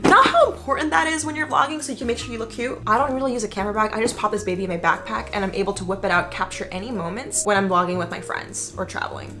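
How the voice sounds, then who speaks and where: Young female vlogger, mid-pitched, chatty and slangy, emphatic tutorial delivery, faint music underneath. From Indonesia. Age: young adult. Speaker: vlogger and content creator. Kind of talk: casual scripted-feel tutorial vlog to camera.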